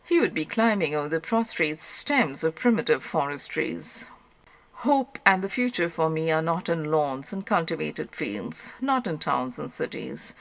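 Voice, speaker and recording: A woman with a low-pitched voice narrates an audiobook. She reads literary prose from a script, as a narrator of written work.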